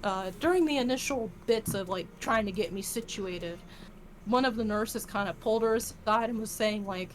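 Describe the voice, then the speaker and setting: A woman's voice: mid-range voice, normal speed, a little hiss or hum. A woman with a rural American country accent, chatting as a guest on a stream in a boisterous, rowdy way and swearing freely. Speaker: guest singer. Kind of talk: casual chat on a stream.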